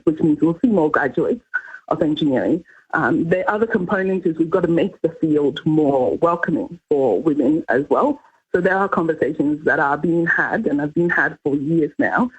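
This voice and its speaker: A woman's voice: low voice, a little mellow, husky. A woman from Ghana giving earnest, explanatory answers in conversational style as a guest in a radio interview. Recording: muffled radio interview audio.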